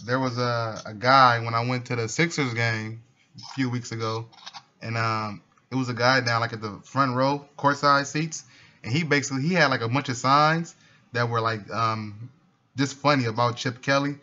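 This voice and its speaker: Male interviewer, bright edgy tone, relaxed slangy delivery, casual sports talk on a dull phone call. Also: mid-range voice, normal speed. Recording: dull, noisy phone line.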